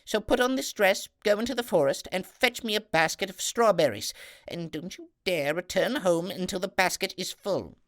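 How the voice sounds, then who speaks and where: A man voicing a jealous, cruel stepmother who gives sharp orders, in a fairy tale reading. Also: high voice, animated, moderate pace, voice pushed up. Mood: scheming.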